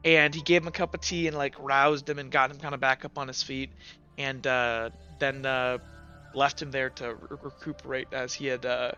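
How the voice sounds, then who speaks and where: Bright, halting male game master. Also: medium pitch, flat delivery, highs cut off, faint music behind. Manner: halting, circling back over phrases. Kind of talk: improvised recap and scene narration for a tabletop game.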